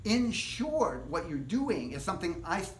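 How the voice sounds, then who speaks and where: A man teaching a casual live guitar workshop, explaining things step by step in a relaxed, rambling way. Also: high voice, moderate pace, a little hiss or hum. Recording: echoey room.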